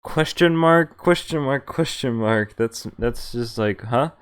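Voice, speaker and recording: A man's voice: medium pitch, measured pace, smooth. A man streaming a game, reading its story aloud dramatically and breaking off into incredulous asides. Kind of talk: reading a visual novel aloud with spontaneous reactions. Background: game music.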